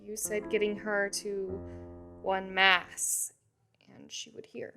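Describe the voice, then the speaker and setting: A woman's voice: medium pitch, flat delivery, slow pace, sharp tone, faint music behind. A woman playing a plucky, determined young girl in an audio play, speaking in an earnest, direct way.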